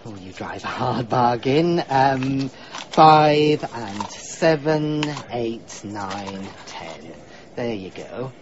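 A man's voice: mid-range voice, lively intonation, drawn-out words, highs cut off, a crowd in the background. An actor playing a polite, babbling, fussy PR man in a radio drama. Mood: awkward, chatty. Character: chatty, tactless, harmless male PR executive.

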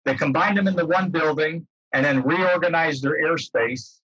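Older american guy explaining stuff on a video call, highish sharp voice, kinda muffled lo-fi audio. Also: normal speed, husky. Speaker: guest speaker, former air traffic controller. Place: indoors, book-lined home room. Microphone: computer mic on video call. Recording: dull, roomy, lo-fi video-call sound.

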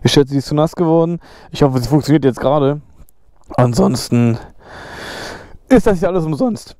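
A man's voice: a little high, animated, normal speed, no echo, studio quality. A young man vlogging from his motorcycle in the rain, chatting casually with lots of slang, swearing and laughter. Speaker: motovlogger. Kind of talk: casual vlog chat while riding. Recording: loud road and engine noise.

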